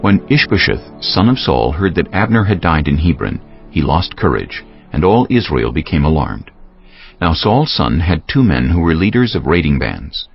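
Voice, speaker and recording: A man with an extremely deep, creaky voice narrates scripture in a measured, formal way. His intonation moves a lot. Faint music sits under the slightly dull recording. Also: sing-song.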